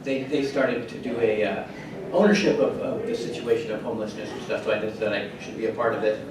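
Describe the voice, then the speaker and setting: A man in his fifties from California, telling his own story at a conference in a halting way, with many restarts and pauses. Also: lowish voice, steady tone. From California, USA. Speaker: resident steward, conference speaker. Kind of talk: personal story at a conference panel. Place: conference hall. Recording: very echoey hall, distant mic, room noise.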